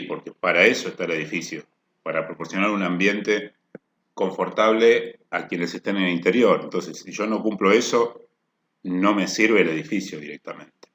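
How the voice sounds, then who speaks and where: A man with a somewhat low voice delivers a technical workshop lecture. He explains patiently, restating ideas and checking that listeners follow. The recording carries a noticeable room echo. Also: moderate pace, fairly bright tone. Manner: explanatory, rephrases points with "o sea" and "¿no?". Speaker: energy efficiency lecturer. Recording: echoey room.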